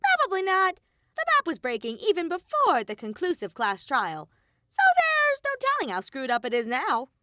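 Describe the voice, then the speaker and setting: A woman's voice: very high voice, wildly swooping pitch, normal speed, noisy background, pitched above the performer's own voice, full character voice. A woman voicing a mischievous, callously cheerful bear mascot villain in a theatrical, flippant manner. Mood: gleeful.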